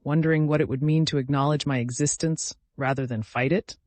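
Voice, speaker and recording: A man's voice: fairly high pitch, fairly even intonation, brisk, a little mellow, no echo, close to an everyday voice. A man voicing an ancient, hostile entity in a fantasy story, murmuring and taunting in a sly way. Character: ancient hostile devouring entity speaking inside a man's mind.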